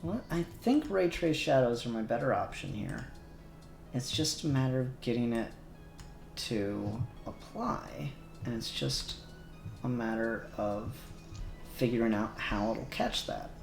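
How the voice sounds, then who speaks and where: Gravelly man muttering through a livestream. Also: mid-range voice, animated, measured pace, soft background music. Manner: muttering to himself, trailing off. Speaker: livestream co-host. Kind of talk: casual livestream chat while working. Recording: echoey room.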